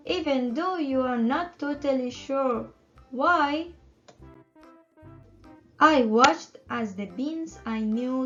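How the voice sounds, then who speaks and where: A woman reading a picture book aloud to children in an expressive storytelling style. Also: high voice. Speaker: children's story time reader and channel host. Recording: muffled audio under music. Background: music.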